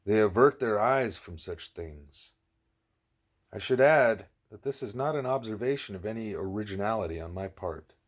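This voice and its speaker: A man reads as a wise, patient teacher in a storybook dialogue. The voice is fairly low, deliberate and instructive, guiding a pupil along. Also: lively intonation. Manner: didactic, deliberate.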